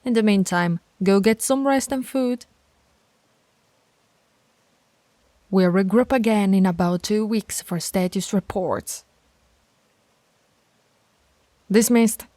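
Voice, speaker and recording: A woman's voice: medium pitch, normal speed, dark tone, dry recording, lightly acted. A woman voicing a stern, teasing alpha werewolf pack leader in an audio roleplay, authoritative and taunting. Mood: brisk, commanding.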